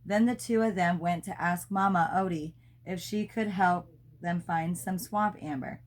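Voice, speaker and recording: A woman's voice: on the low side, a little slow. A woman reading a children's storybook aloud, as a mother does for her child.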